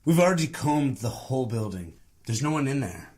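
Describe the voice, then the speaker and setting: An actor playing a needling, sardonic police detective in a noir audio drama, facing an old rival. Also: male voice, medium pitch, big pitch swings, brisk, a little mellow, slight background noise, close to an everyday voice. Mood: suspicious.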